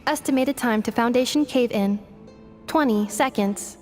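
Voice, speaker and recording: Woman acting a robot girl, calm, polite, precise, high voice, video game, faint music. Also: lightly acted. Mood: calculating. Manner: measured, formal, computer-like phrasing.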